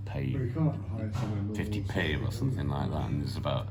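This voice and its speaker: Very deep-voiced British man, music behind. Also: sing-song, moderate pace, creaky. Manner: rambling, sweary, matey. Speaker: storyteller. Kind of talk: casual pub anecdote. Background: loud music.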